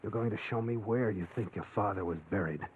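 A man's voice: on the low side, fast talker, natural voice. Actor as a brusque young man, tinny old radio. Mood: hushed urgency. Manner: brusque, slangy. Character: reluctant, hard-boiled young man drawn into danger. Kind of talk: old-time radio drama acting. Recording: tinny, noisy vintage radio recording.

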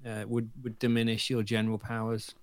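A man co-hosting a casual podcast, talking in a measured, wordy way with rather formal phrasing. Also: lowish voice, monotone, dry recording. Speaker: podcast co-host.

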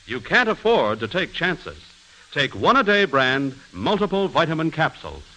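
A man's voice: on the high side, sing-song, normal speed, bright, forceful tone. An American man reading a 1940s radio advertisement as an emphatic, persuasive announcer, on an old AM recording. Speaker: commercial announcer. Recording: noisy vintage AM radio broadcast.

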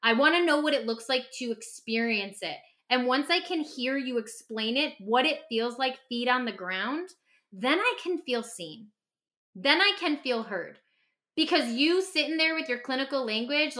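Woman, fairly high voice, bright forceful tone, passionate, candid podcast chat, stresses points by repetition. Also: animated, normal speed. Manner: emphatic, passionate, repeats phrases for stress. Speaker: podcast host, clinician and author. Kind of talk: candid conversational podcast talk.

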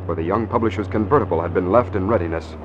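A man's voice: low-pitched, quick pace, natural voice. A man narrating a 1940s radio adventure serial in a formal, scripted storytelling style. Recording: dull vintage radio recording.

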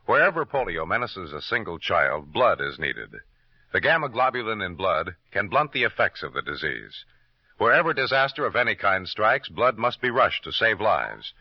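A man's voice: lowish voice, very animated, normal speed, no echo. A man announcing in formal, solemn 1950s radio style, reading scripted copy with emphasis. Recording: dull lo-fi old radio recording.

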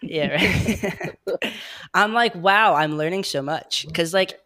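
A man in his twenties hosting a podcast, chatty and quick to laugh at himself. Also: high voice, crowd noise. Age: 20s. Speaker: podcast host. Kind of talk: casual chat.

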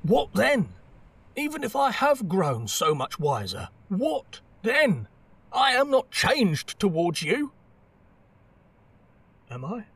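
An actor playing an anguished, pleading miser in an audio drama, with a strained, rough voice. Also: male voice, sits high, huge pitch swings, slow pace, long pauses, a bit bright, no echo, heavily acted. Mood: wounded, defensive.

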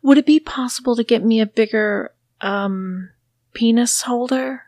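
A frightened, timid young man, as a woman voices him in an audio story, stumbling over his words in a breathy half-whisper. The sound is close and dry. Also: a little high, slow pace, darkish tone, no room sound, pitched above the performer's own voice. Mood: nervous. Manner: nervous, stammering, half-whispered.

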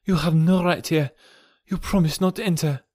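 A man's voice: fairly high pitch, fairly even intonation, moderate pace, a little mellow, dry recording, studio quality, pitched above the performer's own voice, lightly acted. A man putting on a proud, defiant young woman. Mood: cold defiant. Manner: cold and fearless. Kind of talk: audiobook reading.

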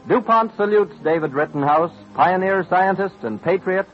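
Old-time male narrator, distinctly high pitch, formal and declamatory, muffled broadcast with faint music behind. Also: moderate pace. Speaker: radio narrator. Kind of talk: 1930s-40s radio scripted narration. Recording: dull old radio recording with music.

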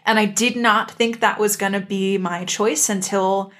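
A woman's voice: medium pitch, fairly even intonation, unhurried, a bit bright. A woman co-hosting a podcast, talking in a casual fan-discussion style. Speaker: podcast co-host.

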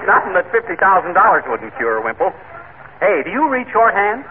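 An actor playing a blustering, wisecracking husband with an American accent, slangy and brusque, in 1940s radio comedy style. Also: male voice, high-pitched, very animated, a little fast. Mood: brash. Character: brash, blustering, wisecracking middle-class husband. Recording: noisy, tinny old radio broadcast.

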